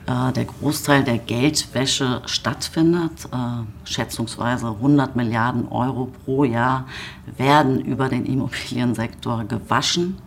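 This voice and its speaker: A woman answering interview questions as a politician, in a guarded, halting and careful manner. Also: extremely low pitch, a little hiss or hum. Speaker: government politician being interviewed.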